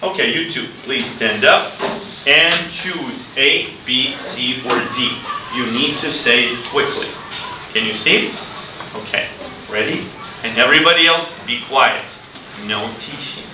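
A middle-aged man teaching English to children in a classroom, using simple deliberate phrases, repeated prompts and praise. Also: medium pitch. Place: classroom, indoors. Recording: echoey, noisy classroom from far off.

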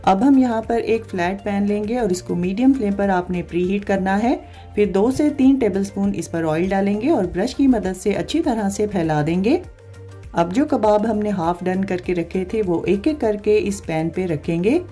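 Female cooking host, warm low-key delivery, instructional voice-over. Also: normal speed, soft background music. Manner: calm step-by-step explaining, addresses viewers directly. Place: voice-over, not recorded in the pictured kitchen.

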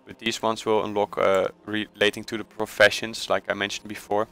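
A man with a Dutch accent walks through a game tutorial in a low, flat, bright voice. He speaks haltingly, filling pauses with "uh" and correcting himself mid-phrase. Soft music plays behind him. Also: creaky, clean tone. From Netherlands. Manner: hesitant, many uh fillers and self-corrections. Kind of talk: casual screen-recorded tutorial voice-over.

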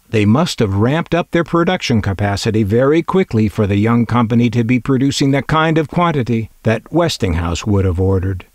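A man's voice: mid-range voice, darkish tone, no room sound. A man narrating a scripted collector's video in a wry, opinionated way with dry humor.

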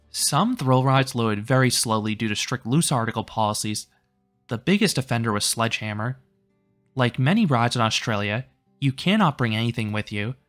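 A man giving a scripted theme park review in a matter-of-fact, informative way, recorded as a voice-over. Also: mid-range voice. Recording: voice-over with faint music behind. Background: faint music.

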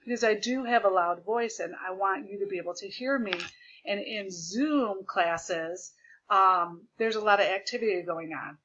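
A woman teacher projecting her voice loudly as she explains a lesson step by step over a video call, repeating the key points. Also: mid-range voice, moderate pace, dull audio, slight background noise. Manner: loud projected voice. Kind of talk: remote classroom lesson. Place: home desk with a document camera. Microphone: computer mic on a video call.

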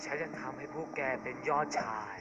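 A man doing a comic fan dub of a cartoon, loud and crude, swearing between lines. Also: a little fast. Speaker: dubber of a cartoon. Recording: dull lo-fi sound with music.

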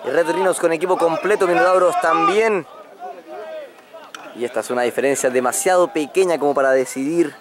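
A man with a Chilean Spanish accent giving live play-by-play commentary on a rugby match outdoors, quick and excited at the big moments. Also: a loud crowd, very noisy. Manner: quick, excited calls of the action, pitch jumping up on big moments.

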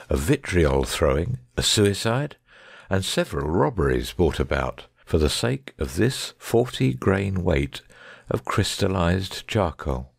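A very low, gravelly gentleman detective, acted by a man in an audiobook drama. He is shrewd and commanding, with precise phrasing and a lively, swooping intonation. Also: no room sound, clean studio sound, exaggerated voice. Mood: musing.

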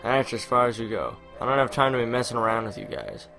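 A man's voice: mid-range voice, very animated, fast talker, creaky, pushed and bright. A young man giving casual, rambling gameplay commentary with jokey mock bravado. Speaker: let's play commentator. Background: video game music.

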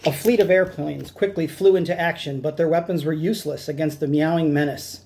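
A man's voice: a little high, steady tone, fairly quick, gravelly, a little mellow, slight background noise. A middle-aged man reading a children's picture book aloud in a dramatic storytelling style. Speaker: storytime reader. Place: small room at home, indoors.

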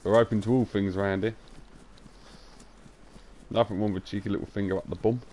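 A young man, his voice croaky and pitched on the low side. He chats with cheeky, crude humor as he live-streams a game from a home setup. A faint hiss sits under his voice. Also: steady tone, a little fast, mellow. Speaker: gaming streamer. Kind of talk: casual live-stream banter.

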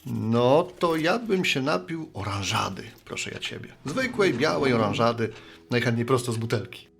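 A man's voice: medium pitch, very animated, moderate pace, creaky, fairly bright tone. An actor playing a good-natured, sheepish middle-aged man, a postman and bar regular, talking in a folksy, rambling way in a radio play. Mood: jovial.

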